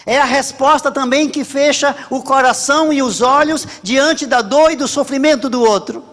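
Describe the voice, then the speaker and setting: A Brazilian man preaching a sermon as a Baptist pastor, emphatic and repetitive, with deliberate pauses. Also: very high-pitched, a bit bright, in a large hall. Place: large church hall during a service.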